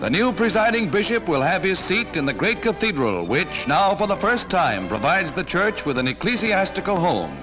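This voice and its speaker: A man's voice: sits high, big pitch swings, normal speed, few pauses, rough recording. A man with an American accent narrating a 1940s newsreel in a formal, declamatory announcer's style. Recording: 1940s newsreel soundtrack with music.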